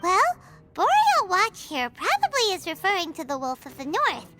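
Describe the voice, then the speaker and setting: A woman voicing a tiny, excitable female fairy companion in a game cutscene, bubbly and fussy, talking about herself in the third person. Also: very high-pitched, moderate pace, full character voice. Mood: chatty. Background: background game music.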